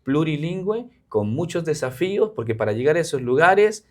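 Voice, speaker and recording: A man's voice: fairly high pitch, sing-song, moderate pace, darkish tone. Earnest Peruvian man explaining. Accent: Peruvian Spanish. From Peru. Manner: earnest explaining, with frequent fillers. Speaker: missionary guest. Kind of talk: interview conversation.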